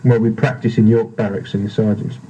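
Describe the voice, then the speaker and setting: A man's voice: fairly low pitch, flat delivery, fairly quick, warm tone. A teenage boy giving brief, matter-of-fact interview answers in a reserved way on an old seventies tape. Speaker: interviewee, amateur guitarist. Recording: dull, noisy 1970s reel tape.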